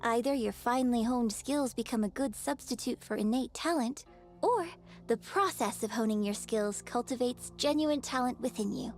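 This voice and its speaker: An actress playing a gracious opera performer in a video game, coaching patiently with polished, gentle, encouraging delivery. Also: female voice, on the high side, normal speed, faint music behind, close to an everyday voice. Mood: poised, encouraging. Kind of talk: scripted video game voice acting.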